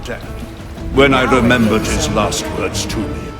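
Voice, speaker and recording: An actor playing an ancient, sardonic cursed plant spirit in a video game, pompous and condescending. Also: male voice, lowish voice, steady tone, unhurried, over loud music, close to an everyday voice. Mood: grave, knowing. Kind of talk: video game character acting.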